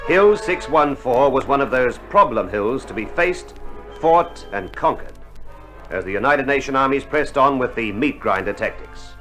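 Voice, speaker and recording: A man's voice: mid-range voice, animated, moderate pace, fairly bright tone. A man giving formal, dramatic scripted narration in a vintage war documentary style. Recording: old vinyl with faint music.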